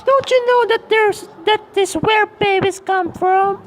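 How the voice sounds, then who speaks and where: A woman's voice: extremely high pitch, unhurried. A woman playing a curious, eager young child in an amateur puppet show, asking questions and exclaiming. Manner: inquisitive, exclaiming.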